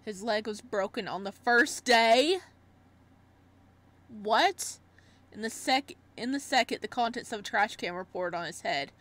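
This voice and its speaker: A woman with a fairly high voice plays a visual novel on stream. She reads the narration aloud expressively. She often breaks off to react in a casual, exclaiming way. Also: animated, unhurried, fairly bright tone, no echo. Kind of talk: let's-play read-aloud with casual reactions.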